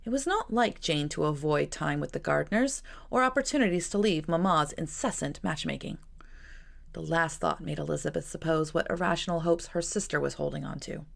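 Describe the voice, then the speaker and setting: A woman reading a novel aloud as narrator, with an expressive, measured, dramatic storytelling delivery. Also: low voice, creaky. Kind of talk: expressive scripted audiobook narration.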